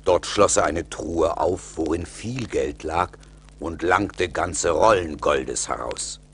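A man's voice: sits low, slow pace, a bit bright, husky. A man narrating a scripted fairy tale in a radio play.

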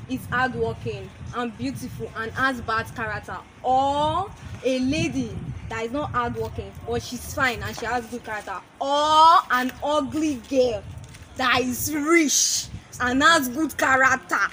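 A woman's voice: sits high, unhurried. A woman putting casual vox pop questions to people outdoors as an interviewer. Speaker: street interviewer. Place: open-air school campus. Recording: noisy outdoor campus, crowd and traffic. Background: crowd and vehicle noise.

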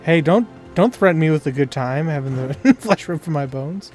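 A man's voice: on the high side, expressive, moderate pace, a little mellow. A man chatting casually and jokingly as he plays a video game, rambling along. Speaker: gamer. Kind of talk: casual co-op gaming chat. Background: game music.

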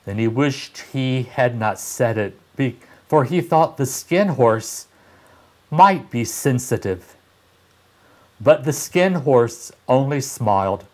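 A man in his sixties reading a children's story aloud expressively, with deliberate pauses and dramatic emphasis. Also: medium pitch, animated, a little mellow. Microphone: lapel mic.

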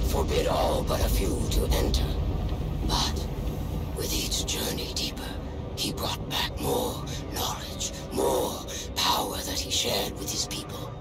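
Slow, gravelly alien mystic. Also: male voice, fairly low pitch, full character voice. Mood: solemn storytelling. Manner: halting broken speech, dropped words, long pauses. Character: solemn alien mystic in robes, foreboding, pleading. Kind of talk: dramatic game cutscene acting. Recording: roomy sound under loud cinematic music.

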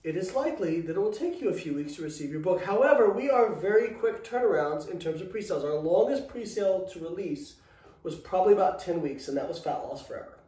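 A man's voice: sits high, expressive, quick pace, dark tone. A man in his thirties co-hosting a casual chat on a living room sofa, sarcastic and swearing as he rants. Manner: profane, ranting, sarcastic. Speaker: co-host, coaching business owner. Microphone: far camera. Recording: echoey room from far off.

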